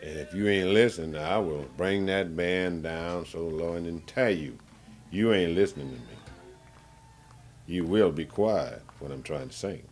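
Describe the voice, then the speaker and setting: A man's voice: low-pitched, measured pace, darkish tone. A man who sings and plays blues guitar, talking in an interview in an emphatic, colloquial, demonstrative way. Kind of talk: casual interview.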